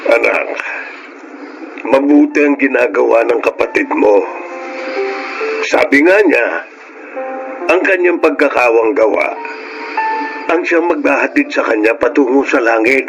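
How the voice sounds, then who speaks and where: A man's voice: mid-range voice, animated, unhurried, creaky, pauses often, rough recording. Principled old Filipino father over music. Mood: earnest, fatherly. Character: caring, principled elderly widowed father. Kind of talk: Filipino radio drama acting.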